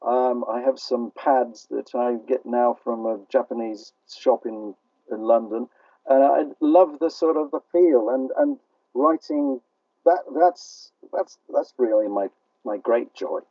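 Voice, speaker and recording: An older man giving a casual, reflective interview as a playwright, talking in a halting, self-effacing, wordy way. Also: mid-range voice, no echo. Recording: dull, muffled, distant radio-like sound.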